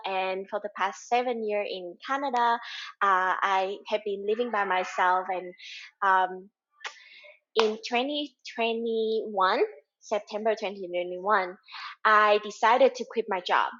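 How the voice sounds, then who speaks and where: A woman's voice: mid-range voice, a bit bright. Woman, young adult, earnest, simple phrasing, slightly muffled sound. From Vietnam. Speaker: podcast guest.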